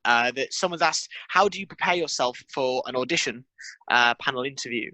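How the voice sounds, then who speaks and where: A male moderator talks quickly in a bright, forward voice at an average pitch. He relays questions casually, and the sound is dry. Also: dry recording. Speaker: webinar moderator.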